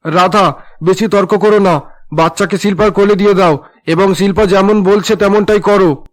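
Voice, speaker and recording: A man's voice: sits high, steady tone, moderate pace, close to an everyday voice. A man voicing a stern, neglectful husband in a cartoon drama, curt and commanding in the way he talks. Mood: stern, ordering. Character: stern, neglectful young husband bringing home another woman. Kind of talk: Bengali cartoon drama dubbing.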